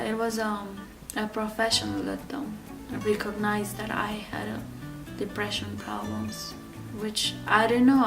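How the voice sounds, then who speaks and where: Halting woman from Ecuador, slow and flat. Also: mid-range voice, vocal fry, far from the mic. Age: 30s. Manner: halting, many fillers and restarts. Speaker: interview guest. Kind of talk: personal story interview.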